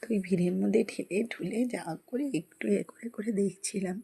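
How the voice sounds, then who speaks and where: A woman's voice: medium pitch, steady tone, brisk, vocal fry, mellow, slightly rough. A woman with a West Bengal Bengali accent narrates a casual vlog in a chatty, rambling way, often repeating herself. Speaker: vlogger. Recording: noisy crowded ambience behind the voice.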